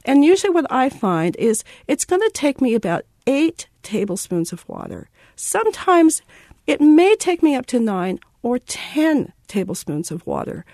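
A woman, a baking expert, walks a caller's question through step by step. Her pitch moves a lot as she explains. The sound is dry and close. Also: very animated, moderate pace, dark tone, dry recording. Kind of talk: instructional interview.

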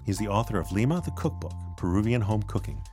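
A man's voice: lowish voice, animated, fast, warm tone. A man hosting a radio show, reading a casual outro with self-deprecating asides. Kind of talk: outro announcement. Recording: voice over guitar music.

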